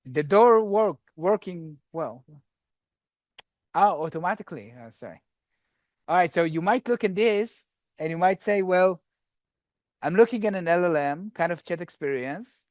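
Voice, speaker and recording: Guy on stage at a dev conference doing a live demo, kinda high voice, super dry close sound, chatty and jokey with the crowd. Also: lively intonation, a little slow, pauses often, darkish tone, no room sound. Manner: casual, chatty, jokes with the audience. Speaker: conference speaker. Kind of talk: technical talk with live coding demo. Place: conference room.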